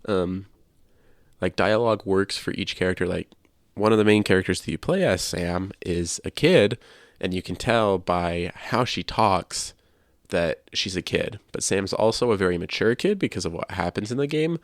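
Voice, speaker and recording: A man's voice: deep voice, normal speed, no echo, studio quality. A man hosting a podcast, sharing his opinions in casual discussion. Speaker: podcast host.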